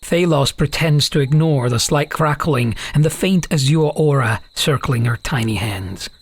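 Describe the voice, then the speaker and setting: A man's voice: mid-range voice, a little slow, husky. A man giving scripted narration for a fantasy audiobook, as the storyteller between characters' lines.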